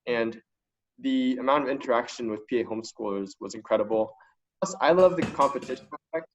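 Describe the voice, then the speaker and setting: A man's voice: medium pitch, brisk, faint music behind. A young man from China giving a casual, enthusiastic talk as a student panelist, speaking informally. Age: young adult. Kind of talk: casual spoken testimonial. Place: online video conference call. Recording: dull lo-fi online call audio.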